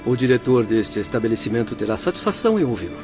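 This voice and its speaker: A slightly muffled radio drama with faint music under a man acting as a kindly doctor. He speaks at a medium pitch, composed, courteous and reassuring in formal phrases. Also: big pitch swings, fast talker, lightly acted. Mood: calm, obliging. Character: kindly, composed male doctor of spirit infirmary.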